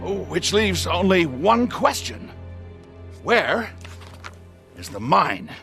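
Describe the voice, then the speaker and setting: A man voicing an eccentric, excitable older scientist in a video game, with a genteel, exclamatory manner. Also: high voice, slow pace, gravelly, faint music behind, heavily acted. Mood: delighted.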